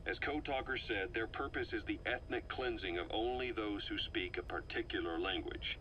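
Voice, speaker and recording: A lowish, sharp-edged man's voice, as a shrewd intelligence officer in a game. He lays out his theory in emphatic, deliberate monologue, analytical and intense, on a faintly noisy cassette-style recording. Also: muffled recording. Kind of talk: scripted briefing monologue in a video game.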